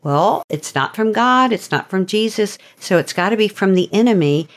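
A woman's voice: mid-range voice, animated, moderate pace. A woman host teaching in an earnest, conversational devotional style, often posing rhetorical questions as she explains. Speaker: host and storyteller.

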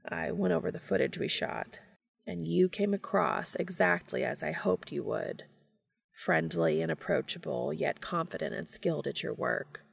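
A reader playing an earnest, reserved male TV producer in an audiobook story, with formal, measured phrasing. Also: medium pitch, creaky, breathy edge. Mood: soft, sincere.

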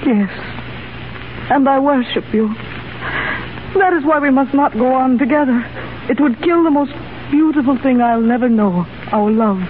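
A woman's voice: sits high, normal speed, lightly acted. An actress playing a dutiful, lovelorn Russian revolutionary woman in a 1930s radio drama. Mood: anguished, tender. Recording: scratchy, narrow-band 1930s radio broadcast.

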